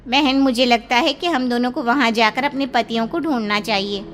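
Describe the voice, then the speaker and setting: A woman's voice: on the high side, moderate pace, fairly bright tone, natural voice. A woman playing an anxious, scolding village wife in a horror audio story. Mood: worried. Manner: fretful, scolding. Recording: reverberant, faint noise.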